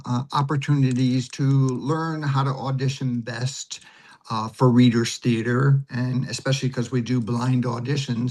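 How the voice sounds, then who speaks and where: Man in his sixties, mid-pitched, explaining conversationally. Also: a little mellow. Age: 60s. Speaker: interview guest, readers theater teacher. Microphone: video call.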